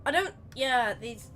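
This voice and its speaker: Bright, croaky-voiced woman streamer. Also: on the high side, a little hiss or hum. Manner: rambling, chatty, self-correcting. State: slightly croaky voice. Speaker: game streamer. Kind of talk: live gameplay commentary.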